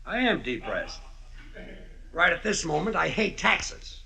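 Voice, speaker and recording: A man's voice: a little high, very animated, a little slow, vocal fry, bright, forceful tone, heavily acted. An actor playing a dry, harried American husband, the grumbling straight man in an old radio comedy. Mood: glum. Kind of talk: 1940s radio comedy acting. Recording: very noisy old radio recording.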